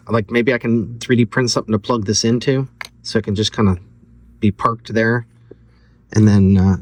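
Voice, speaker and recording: A man casually walking through a DIY electronics project, thinking aloud in an offhand, loosely phrased way. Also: fairly low pitch. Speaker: electronics hobbyist host.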